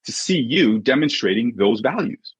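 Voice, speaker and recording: A husky-voiced man giving an emphatic, conversational motivational talk as a coach and podcast guest, often checking in with short rhetorical questions. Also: mid-range voice, sing-song, normal speed, no echo. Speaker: podcast guest, sports mindset coach and author. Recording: dull, muffled lo-fi audio.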